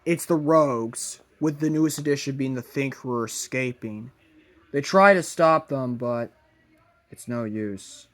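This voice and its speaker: Slow, halting man narrating. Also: medium pitch. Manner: halting, drawn-out delivery in short broken phrases. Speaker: fan video narrator. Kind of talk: rambling fan-fiction plot narration.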